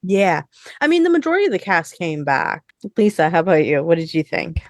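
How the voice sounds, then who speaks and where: A woman's voice: fairly low pitch, big pitch swings, brisk, a little mellow, no echo, clean studio sound. A woman co-hosting a podcast in casual, conversational chat, often dropping in "like" as she talks. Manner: conversational, frequent "like". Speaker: podcast co-host.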